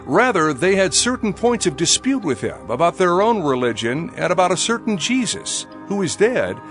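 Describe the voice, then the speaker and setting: An actor playing a formal, commanding Roman governor in a dramatized audio Bible, speaking in an oratorical manner. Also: male voice, high-pitched, fairly bright tone.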